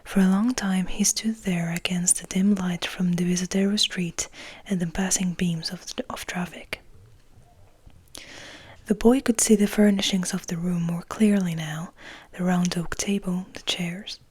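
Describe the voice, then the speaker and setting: A woman whispering softly and slowly as an ASMR host, in a hushed manner with gentle pauses. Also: on the low side, steady tone, slightly rough. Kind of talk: whispered, soft-spoken ASMR. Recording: noticeable background noise.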